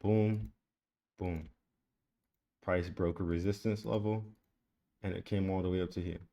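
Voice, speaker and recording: A man's voice: deep voice, fairly even intonation, unhurried, vocal fry, dark tone. A man giving a casual trading lesson, talking through a chart on screen in a loose, repetitive way. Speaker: trading educator. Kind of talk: screen-share trading tutorial.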